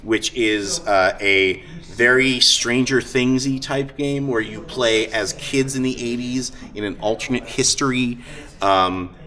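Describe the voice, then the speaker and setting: A man hosting a live show, talking casually and off the cuff, with enthusiasm, halting asides and frequent hesitations. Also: medium pitch, pushed and bright. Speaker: host of a live-streamed tabletop roleplaying show. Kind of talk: casual off-the-cuff talk to an audience. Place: live event room at a gaming convention. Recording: live convention room with crowd noise.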